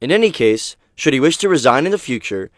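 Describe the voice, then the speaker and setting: A man speaks at a medium pitch with very lively intonation. He recounts and explains in a formal register. The sound is dry and close. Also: fast talker, no echo.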